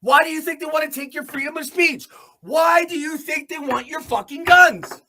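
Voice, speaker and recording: A man's voice: very high-pitched, moderate pace, sharp tone. A man hosting a solo commentary show, delivering a blunt, off-the-cuff opinionated monologue with free use of swearing.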